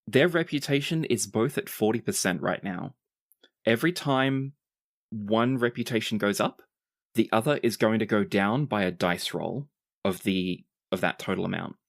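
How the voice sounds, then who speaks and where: Game master, mid pitch, dry close recording, laying out rules and scenes for players. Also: moderate pace, vocal fry, no room sound. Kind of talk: explaining tabletop game rules and narrating.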